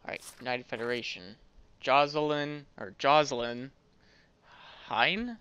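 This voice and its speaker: A man's voice: medium pitch, a little slow, bright, forceful tone, clear, resonant tone, dry recording, muffled recording. A man giving casual gameplay commentary, rambling and thinking aloud as he plays. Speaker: gaming video host.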